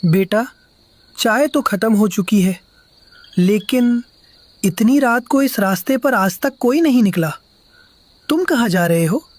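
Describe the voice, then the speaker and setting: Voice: mid-range voice, animated, takes it slowly, pauses often, a little mellow, no echo. A performer voicing a mysterious, ghostly old tea-stall man who gives ominous warnings in a horror cartoon. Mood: eerie concern. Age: older. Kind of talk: dramatic horror story dialogue.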